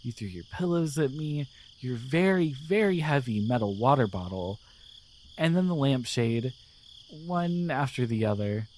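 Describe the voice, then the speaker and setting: Casting: male actor as a gentle male guardian angel for romantic ASMR, unhurried and softly reassuring, medium pitch, crickets behind. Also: expressive, slight background noise, natural voice. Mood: sheepish, fond. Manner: tender, hesitant, softly reassuring. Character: gentle, devoted male guardian angel in love with his human.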